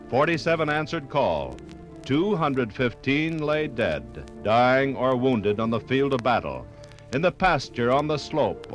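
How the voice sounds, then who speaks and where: A man giving solemn, dramatic scripted narration in a 1950s radio style. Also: medium pitch. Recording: 1950s radio recording, music behind.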